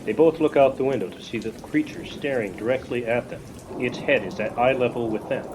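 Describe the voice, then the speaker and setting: A man's voice: mid-range voice, fairly quick. A man giving scripted narration for a radio play as its narrator. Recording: noisy rain and storm ambience.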